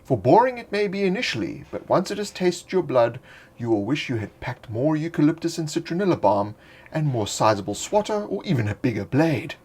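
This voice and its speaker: Guy with a husky voice narrating a bestiary entry, dry and a bit playful. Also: fairly high pitch, fairly quick. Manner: dry, playful storytelling with wry asides; louder and more excited in the closing address. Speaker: game designer reading a monster entry.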